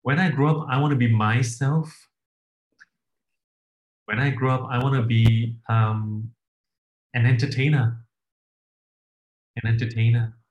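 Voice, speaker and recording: A man's voice: mid-range voice, steady tone, unhurried, long pauses, dull audio. Man from Malaysia in a roomy space. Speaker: workshop participant. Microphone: video call. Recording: roomy video call audio.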